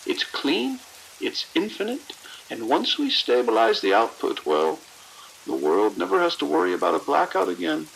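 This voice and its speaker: An actor playing a proud research scientist in an audio drama, explaining in a condescending, grandiose and articulate manner. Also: male voice, on the high side, lively intonation, normal speed, vocal fry, fairly bright tone, exaggerated voice, low-quality recording. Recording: hiss and rain-like noise.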